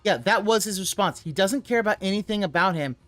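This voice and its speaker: A streamer talking over a video they are reacting to, their voice sitting at an ordinary pitch. They rant and swear freely and at times burst into loud yelling. Faint music plays under the talk. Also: lively intonation, quick pace. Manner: ranting, profane, swings into loud yelling. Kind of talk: live reaction commentary.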